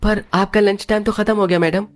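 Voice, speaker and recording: A man's voice: high voice, flat delivery, fairly quick, pitched above the performer's own voice, close to an everyday voice. A man voicing a timid, servile office errand man with a meek, deferential manner for a moral story cartoon.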